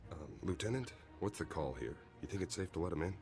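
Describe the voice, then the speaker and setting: An easygoing army captain in a game cutscene. He has a deep voice and an informal, helpful manner, with clear music behind him. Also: lively intonation, fast. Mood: awkward, unsure. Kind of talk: video game cutscene acting.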